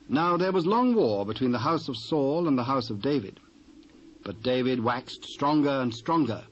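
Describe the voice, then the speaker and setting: British male scripture narrator, muffled old tape. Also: mid-range voice. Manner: measured, formal storytelling. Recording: dull, hissy old cassette transfer.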